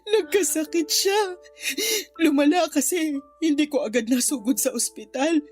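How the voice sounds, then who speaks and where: A woman's voice: sits high, lively intonation, moderate pace, a little mellow. An actress playing an ashamed, grieving mother in a radio drama, tearfully confessing and blaming herself as she cries. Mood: grieving, guilty. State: crying. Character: remorseful impoverished mother, a runaway aunt, ashamed and grieving. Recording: music bed under the voice.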